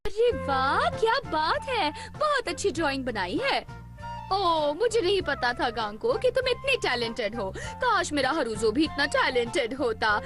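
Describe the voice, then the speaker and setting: A woman voicing an expressive, doting mother in a dubbed cartoon, gushing and motherly. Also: high voice, unhurried, over music. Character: doting, effusive mother, a friendly neighbourhood aunty.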